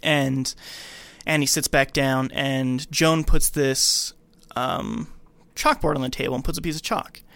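A man hosting a podcast, retelling a movie scene by scene in casual, slangy, animated speech. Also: mid-range voice, measured pace, no room sound. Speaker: podcast host.

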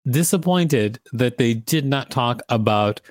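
A man's voice: mid-range voice, animated, unhurried, dry recording, clean studio sound. A male podcast host reading an article aloud in an irreverent, teasing way.

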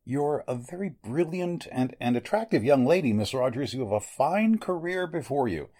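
An actor playing a sinister, courteous elderly doctor in a 1940s-style radio mystery, speaking formally and deliberately with a husky voice. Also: male voice, medium pitch, lively intonation, warm tone, natural voice. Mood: grave, courteous. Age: older. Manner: formal, deliberate, menacingly polite.

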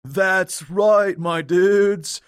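A man playing a laid-back, dim surfer dude with a slangy, drawn-out drawl in a comedy. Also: high-pitched, smooth, no echo, studio quality. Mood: breezy, boastful. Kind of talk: comic audio drama acting.

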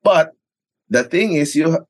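A man's voice: a little high, animated, takes it slowly, no echo. A Filipino man, a sports radio commentator, chatting casually in an interview, explaining things and often checking for agreement. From Philippines. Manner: explanatory, often seeks agreement with tag questions. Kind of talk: casual interview chat mixing Tagalog and English.

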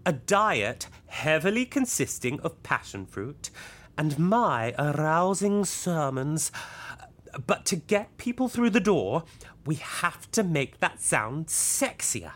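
Female cult leader, deep register, pompous, scheming, swooping emphatic delivery, comedy audio drama. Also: sing-song, slow, heavily acted. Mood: insistent, irritated. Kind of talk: audio sitcom acting.

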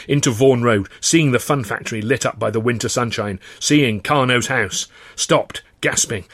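A man reading a first-person novel as dramatic audiobook narration, expressive and often in short clipped phrases. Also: medium pitch, dry recording. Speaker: author reading his own novel.

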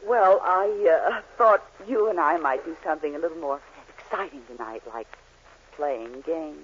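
A woman's voice: low voice, moderate pace, breathy edge. An actress playing a flirtatious, hard-boiled female con artist in a 1940s radio comedy. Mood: sultry, flirtatious. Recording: muffled, faintly noisy 1940s radio recording.

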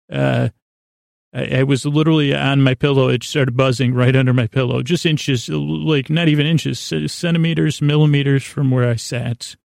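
A man with a creaky voice hosting a sleep podcast, rambling in a halting, meandering monologue with a self-deprecating, gently absurd manner. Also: mid-range voice, no echo, studio quality. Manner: meandering, halting, circling back on himself. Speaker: podcast host.

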